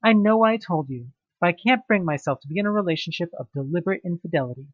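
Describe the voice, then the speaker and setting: A man's voice: high voice, animated, fast talker, dry recording, dull audio, pitched above the performer's own voice. A man voicing an earnest woman reading out her sorrowful, heartfelt breakup letter in an audio drama. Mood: earnest, regretful.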